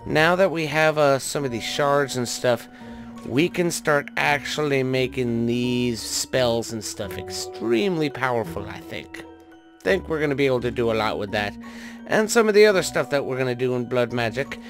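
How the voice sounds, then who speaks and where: A man's voice: mid-range voice, expressive, moderate pace, soft background music. A man hosting a gaming video, giving casual commentary and thinking aloud in an informal way as he plays. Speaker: host of a gaming video series.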